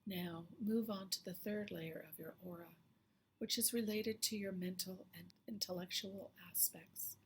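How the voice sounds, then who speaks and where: A woman leading a slow guided meditation in a soft, hushed, soothing voice that often trails into whispery breath. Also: medium pitch, fairly even intonation, a little hiss or hum. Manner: slow, soothing, with long pauses.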